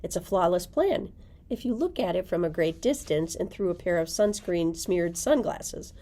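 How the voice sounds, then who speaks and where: Mellow-voiced woman presenting books. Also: mid-range voice. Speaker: librarian presenting book picks. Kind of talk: reading book blurbs aloud with brief chat. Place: indoors, library room with bookshelves.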